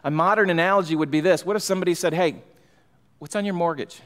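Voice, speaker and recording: A middle-aged male pastor preaches from the pulpit, his voice a bit higher than most men's. He talks emphatically and builds in fervor. The sound carries a long reverb through a large church hall. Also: quick pace, a bit bright. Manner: emphatic, rising in fervor, gestures while preaching. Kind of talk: expository sermon. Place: church sanctuary, large room indoors. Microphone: pulpit microphone.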